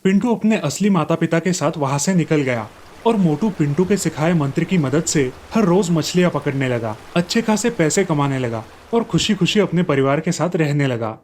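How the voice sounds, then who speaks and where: A man's voice: on the high side, expressive, moderate pace, a little mellow. A man narrating a scripted fairy tale as a storyteller. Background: light background music.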